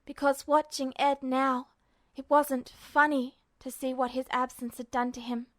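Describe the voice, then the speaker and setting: A woman reading fiction aloud as an expressive, scripted audiobook narration. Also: high-pitched, measured pace, no echo. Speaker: fan fiction audiobook reader.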